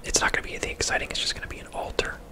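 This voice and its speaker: A person whispering casual, laid-back ASMR commentary over a video game, in a breathy, unvoiced voice. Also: racing. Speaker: host, gamer.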